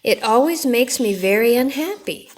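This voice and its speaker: A woman plays a grumbling, easily discouraged storybook toad. The plaintive, stubborn voice is fairly high and rises and falls a lot. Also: lively intonation, normal speed, a little mellow. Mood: sad. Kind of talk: children's storybook read-aloud.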